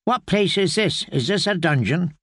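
A man's voice: high-pitched, expressive, moderate pace, fairly bright tone, no echo, clean studio sound, voice pushed up, full character voice. A man voicing a wary, wounded woman knight who speaks in curt, blunt demands.

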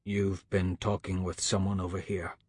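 A man voicing an older man in authority for an audiobook, soft and measured, accusing with cold menace. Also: low-pitched, level tone, slightly rough, no room sound, clean studio sound, voice pushed down. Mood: cold, suspicious. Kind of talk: audiobook character dialogue.

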